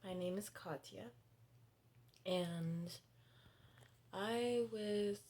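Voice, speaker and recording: A woman in her twenties talking frankly in a personal vlog, halting and hesitant, with many restarts and fillers, blunt and given to swearing. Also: medium pitch, a little mellow. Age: 20s. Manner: blunt and candid, swearing freely. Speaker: vlogger.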